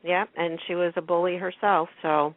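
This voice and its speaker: A woman hosting a radio show, chatting casually in an expressive, exclamatory way. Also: on the low side, moderate pace, no room sound. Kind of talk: casual chat. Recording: dry, muffled phone-like line.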